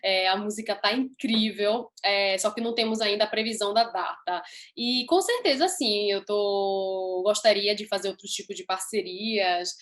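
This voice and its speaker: A woman's voice: medium pitch, moderate pace, a bit bright. A young woman from Italy, Italian her first language, an upbeat singer answering interview questions in chatty, laughing style.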